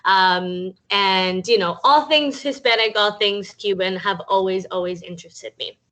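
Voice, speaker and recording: Young woman from Cuba, chatty talk over a video call, medium pitch, lots of ums. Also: unhurried, fairly bright tone. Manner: chatty, many um fillers. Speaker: guest speaker.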